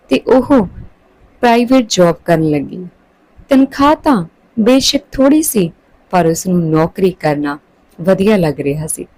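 A woman's voice: lowish voice, darkish tone, dry recording, poor audio. A woman reading a scripted story aloud as a storyteller.

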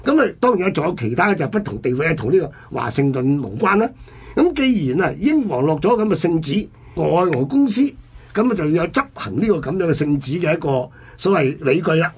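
A man's voice: fairly high pitch, very animated, brisk, breathy edge. A man with a Hong Kong Cantonese accent telling history conversationally as a radio host, in an explanatory manner.

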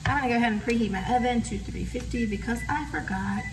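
A roomy, distant recording with heavy noise carries an American woman in her thirties. She talks viewers through a recipe in an informal, instructional way, at a middling pitch. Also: fast talker. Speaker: home cook hosting a recipe video. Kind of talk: casual cooking demonstration. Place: home kitchen, indoors. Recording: roomy, noisy kitchen, distant mic.

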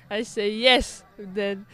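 Slow, giggly Slovenian teenage girl. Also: mid-range voice, pushed and bright. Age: teens. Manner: halting, giggly. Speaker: athlete being interviewed. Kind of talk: unscripted interview. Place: outdoors at a snowy ski venue. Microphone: handheld reporter microphone.

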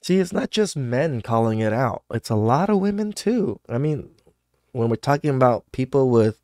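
A man's voice: mid-range voice, big pitch swings, normal speed, a little mellow, no room sound, studio quality. A man in his thirties hosting a podcast, reacting to clips with blunt, profane scolding. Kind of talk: casual reaction commentary. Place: home studio with purple lights.

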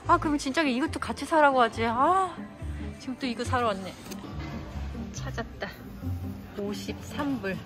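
A woman's voice: sits high, moderate pace, pauses often, over loud music. A woman in her thirties chatting casually to a handheld phone camera, exclaiming and sighing as she talks. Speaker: vlogger. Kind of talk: casual vlog chat. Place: car interior and shops. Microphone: phone camera at arm's length.

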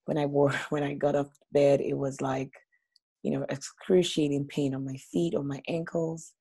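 A woman's voice: low-pitched, little pitch movement, normal speed, dark tone, husky. A woman in her thirties from Nigeria, a guest on a video call from her living room, telling her story openly in a chatty way with small laughs. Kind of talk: casual video-call interview. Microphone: laptop webcam on a video call.

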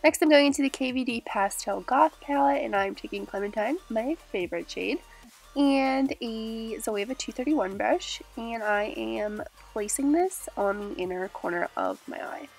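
Young woman all stuffed up and nasal, chatty makeup tutorial, fairly high voice, faint music behind. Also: very animated, normal speed, creaky. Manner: chatty, upbeat, describing each step. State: nasal from a cold. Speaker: beauty vlogger. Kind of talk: casual makeup tutorial narration. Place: small indoor room with glitter backdrop.

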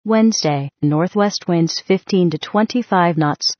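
A woman's voice: fairly low pitch, unhurried, no room sound. A synthetic woman's voice reading weather bulletins in a mechanical, impersonal manner on a muffled radio recording. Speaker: automated weather radio announcer.

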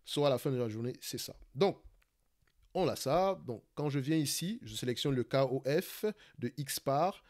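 A man with a Cameroonian accent talks fast through a live screen-recorded stock analysis. He often searches for words and restarts his sentences. He keeps checking in with his listeners as he goes. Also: mid-range voice, expressive, darkish tone. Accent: Cameroonian French. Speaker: investing channel host.

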